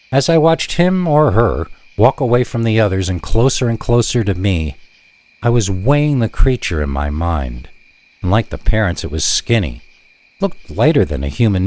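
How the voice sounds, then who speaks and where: A man reading a first-person scary story in a measured, deliberate way with dramatic pauses. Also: sits low, a little mellow. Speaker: narrator reading scary stories.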